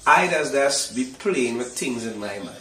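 A man's voice: mid-range voice, expressive, normal speed, bright, forceful tone. A man with a Trinidadian accent chatting casually as a singer in an interview, speaking emphatically, repeating himself and often checking that he is understood. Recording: roomy echo, distant from mic.

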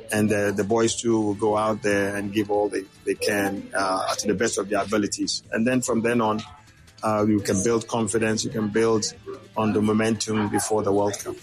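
A man speaking as a football official in an interview, hesitant with many fillers and restarts. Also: lowish voice. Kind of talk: interview clip.

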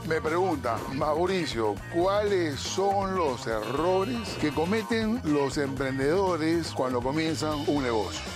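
A man's voice: a little high, expressive, slow pace, hardly any pauses, over loud music. A man giving business advice, explaining his points steadily one by one. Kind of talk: advice segment.

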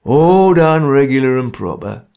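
A man's voice: medium pitch, expressive, a little slow, dark tone, clear, resonant tone. A man playing a grim, bullying conspirator boss in a story, severe and laconic, with a working-class London accent. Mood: matter-of-fact. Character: grim, menacing male ringleader of bungling conspirators.

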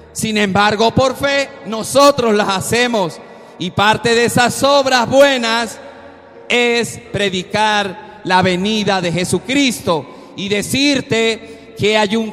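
High-voiced man preaching slowly in an echoing hall. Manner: slow, emphatic exhortation with sudden loud peaks. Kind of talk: sermon. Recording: long reverberant hall.

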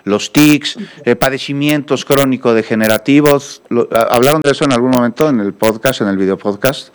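A man's voice: medium pitch, a little fast, clear, resonant tone. Pressing news host, man. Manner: pressing, interrupting, critical. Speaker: news host interviewing a guest. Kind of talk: pointed broadcast interview and commentary.

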